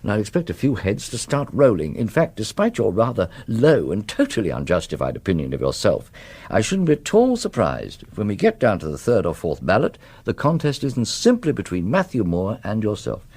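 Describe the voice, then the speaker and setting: An actor playing a genteel middle-aged British academic in a radio drama, drily witty, courteous and a little hesitant. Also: male voice, on the low side, wildly swooping pitch, brisk, darkish tone, slightly rough, heavily acted. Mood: wry, reassuring. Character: genteel, wry middle-aged male academic declining a contest.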